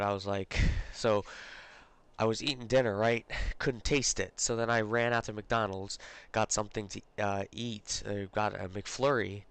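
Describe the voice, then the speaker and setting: A man's voice: lowish voice, fairly bright tone, clean tone. Congested man chatting casually on stream. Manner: rambling, chatty, many fillers and restarts. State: congested, recovering from a cold. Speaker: live-stream host. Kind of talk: casual live-stream chat. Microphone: close desk mic.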